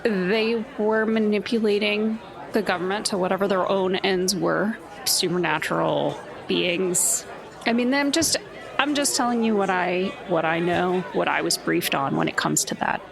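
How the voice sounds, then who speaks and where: A woman's voice: mid-range voice, unhurried, creaky, a crowd in the background. A woman playing in a tabletop game, explaining background lore in a matter-of-fact, confident way. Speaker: player. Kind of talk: casual chat in a tabletop game.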